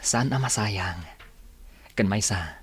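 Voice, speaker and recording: An actor playing an earnest, soft-spoken suitor who persuades gently, in an Ilocano radio drama with an Ilocano accent. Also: male voice, lowish voice, slow pace, slightly rough. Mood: earnest, persuasive.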